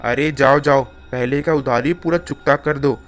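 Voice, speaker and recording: A man voicing a gruff village shopkeeper in a cartoon story, dismissive and scolding. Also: medium pitch, fairly even intonation, moderate pace, a bit bright, natural voice. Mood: irritated. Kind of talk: Hindi cartoon moral-story acting. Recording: music under the voice.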